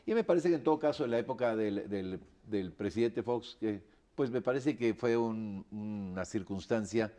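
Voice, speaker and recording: A man giving political analysis on a panel, speaking deliberately and often tagging his points with a questioning "right?". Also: fairly low pitch, dark tone. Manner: deliberate, repeats "me parece" and tags "¿no?". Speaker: panel commentator.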